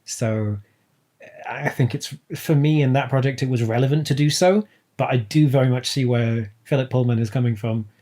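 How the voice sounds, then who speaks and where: A man with a mellow, medium-pitched voice talks casually as a podcast guest. His phrasing is articulate and reflective, as if explaining his own creative habits. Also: moderate pace. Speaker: podcast guest, audio drama writer. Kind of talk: casual, reflective interview chat.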